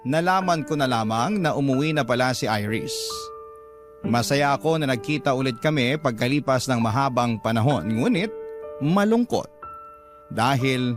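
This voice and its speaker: Actor as a sincere letter-writer over music. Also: male voice, medium pitch, lightly acted. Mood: reflective. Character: sincere family man narrating his own letter. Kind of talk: dramatic first-person radio narration. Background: clear music bed.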